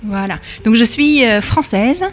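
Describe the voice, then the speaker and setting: A woman's voice: fairly high pitch, unhurried. Hesitant woman in a reverberant room. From France. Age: 30s. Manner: hesitant, many fillers and restarts. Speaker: guest, bakery school manager. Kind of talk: radio interview. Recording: long reverb.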